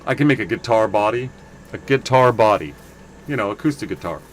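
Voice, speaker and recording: A man with a fairly low, loud voice chats his way through a game stream, wandering off track and correcting himself mid-thought. A steady noise hum sits clearly behind him. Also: fairly quick. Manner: rambling, self-correcting, chatty asides to viewers. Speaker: livestream game player. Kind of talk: casual gameplay commentary.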